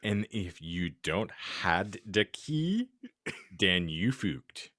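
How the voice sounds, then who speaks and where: A male podcast host giving a theatrical, mock-serious comedic reading of fan fiction with deliberate delivery. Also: sits low, big pitch swings, a bit bright, no room sound, studio quality.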